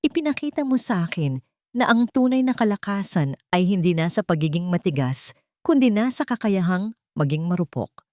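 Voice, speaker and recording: A woman's voice: mid-range voice, sing-song, normal speed, dark tone, no echo, clean studio sound, lightly acted. A woman voicing an earnest, loving businessman in a romance story, serious and emotional. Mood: serious, tender. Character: earnest, loving young businessman proposing marriage.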